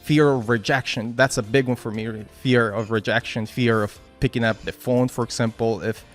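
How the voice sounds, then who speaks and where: Spanish-accented man giving a halting pep talk. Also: medium pitch, fairly even intonation, soft background music. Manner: halting, many restarts and "right?" tags. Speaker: team lead giving a motivational talk. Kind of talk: informal motivational talk at a work meeting.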